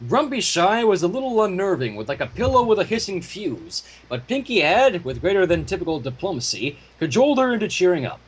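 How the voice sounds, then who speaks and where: A man narrates a fan story in a husky, fairly high voice. His delivery is lively and swings widely in pitch as he reads the prose aloud. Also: fairly quick, few pauses, low-quality recording. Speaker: narrator and fan fiction reader.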